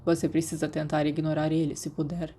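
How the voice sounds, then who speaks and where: A woman's voice: deep voice, little pitch movement, a little fast, dark tone, husky. A woman voicing a naive, blunt, defensive teenage boy in audiobook dialogue. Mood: earnest, hesitant. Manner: blunt, grumbling, hesitant.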